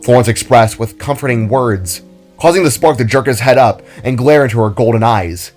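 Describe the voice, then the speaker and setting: A man's voice: mid-range voice, normal speed, a little mellow. Male story narrator over music. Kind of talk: dramatic scripted story narration.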